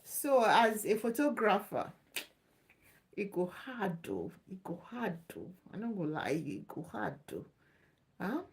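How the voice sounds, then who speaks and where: A woman's voice: mid-range voice, big pitch swings, a little slow, a little hiss or hum. A woman hosting a live Q&A stream, talking loosely and reassuringly, repeating herself as she answers viewers' questions. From Nigeria. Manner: chatty, loose and repetitive, reassuring. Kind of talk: casual live Q&A.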